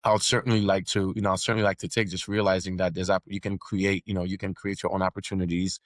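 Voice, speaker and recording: A man's voice: sits low, level tone, fast talker, no echo, clean studio sound. A middle-aged man from Haiti with a Haitian accent, whose first language is Haitian Creole, talking confidently as a podcast guest. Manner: confident, businesslike, repeats words while building a point. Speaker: podcast network founder, guest. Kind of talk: casual interview talk.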